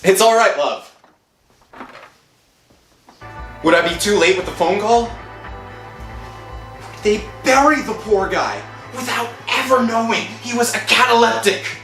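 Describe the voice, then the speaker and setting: A man playing an anxious, guilt-stricken working-class husband in an old-time radio thriller, speaking in a halting, dramatic way. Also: high voice, lively intonation, fairly bright tone. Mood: pleading. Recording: roomy echo, faint music behind.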